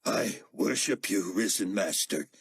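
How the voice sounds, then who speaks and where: A man acting out an obsequious male worshipper, fawning and slow, near the reader's own voice. It comes husky and breathy in a dry, close sound. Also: low voice, animated, no echo, heavily acted.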